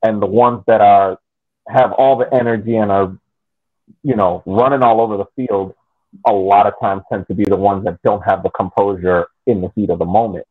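A man giving casual sports analysis in measured, halting phrases over a video call. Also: fairly low pitch, steady tone, breathy edge, no room sound. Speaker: sports podcast panelist. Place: indoor room with wooden headboard. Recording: thin phone-like call audio.

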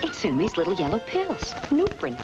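A woman gives an earnest, confiding testimonial in a 1980s pain reliever commercial. Her voice moves widely in pitch. Dull TV sound with loud music behind. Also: wildly swooping pitch, moderate pace. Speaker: ad spokeswoman. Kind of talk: scripted ad testimonial.

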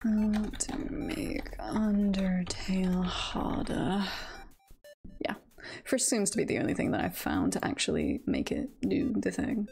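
Woman streamer with very lively pitch. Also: a little slow, gravelly, faint music behind. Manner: giggly. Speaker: VTuber streamer. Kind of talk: casual game stream chat.

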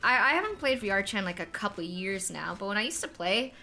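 A young woman streaming a game, with a very high-pitched, childlike voice, telling a rambling, self-deprecating story with laughs and swearing. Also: very animated, quick pace, pushed and bright, a little hiss or hum. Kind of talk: casual gaming stream chat.

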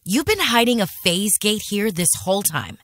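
An actress playing a determined, wry human explorer in a video game, speaking in an informal, expressive way. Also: female voice, medium pitch, moderate pace, no echo, clean studio sound. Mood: wry, surprised.

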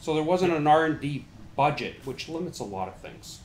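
A man's voice: a little high, lively intonation, brisk, a little mellow. A middle-aged man giving conversational, emphatic interview answers as a company founder, often explaining with "I mean". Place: small indoor listening room, seated. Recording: roomy echo, clear background noise.

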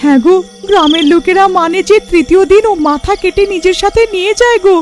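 A woman's voice: very high voice, fast talker, music in the background. A woman voicing a frightened young village wife in a cartoon, anxious, pleading and dramatic. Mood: fearful. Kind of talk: cartoon voice acting.

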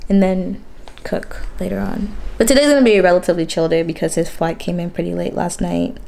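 A woman's voice: lowish voice, steady tone, brisk, vocal fry, warm tone. A young woman chatting casually to her phone camera in a bedroom at her sister's place, bubbly and laughing often. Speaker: vlogger. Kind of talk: casual vlog chat. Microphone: phone camera at arm's length.

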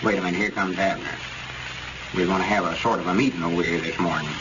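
An actor playing a worried, self-important old country store owner in folksy rural dialect, in 1930s radio comedy style. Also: male voice, low-pitched, fairly even intonation, quick pace, natural voice. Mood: explaining. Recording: muffled, noisy old radio recording.

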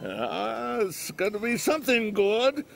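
A man's voice: high-pitched, slow, vocal fry, a little hiss or hum, heavily acted. An actor playing a cranky, crude old man in a hospital bed, grumbling, sarcastic and teasing, in an audio drama. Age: older. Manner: grumbling, sarcastic, bellowing for the nurse.

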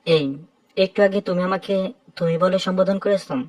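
A woman voicing a calm, gently teasing young male airline pilot in a romantic audio story. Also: fairly low pitch, normal speed, no room sound, distant mic, pitched below the performer's own voice, lightly acted.

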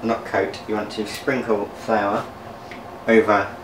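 Young man, low voice, chatty and self-mocking, echoey kitchen, faint clatter of pans. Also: level tone, slow pace, background noise. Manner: self-deprecating, chatty, laughs at his own mishaps. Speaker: cooking vlogger. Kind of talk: casual cooking commentary. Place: home kitchen.

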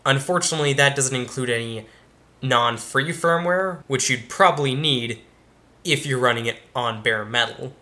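A young man with a casual, explanatory manner walks viewers step by step through a software install in a tutorial. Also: medium pitch, moderate pace. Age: young adult. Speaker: tech YouTuber. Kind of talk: screen-recorded tutorial walkthrough. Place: small room indoors.

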